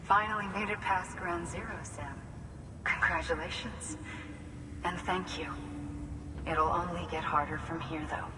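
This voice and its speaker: Low-voiced, grave woman leader, played by an actress. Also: fairly even intonation, measured pace, long pauses, recorded from a distance, background noise, lightly acted. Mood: solemn, measured. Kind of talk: video game cutscene acting.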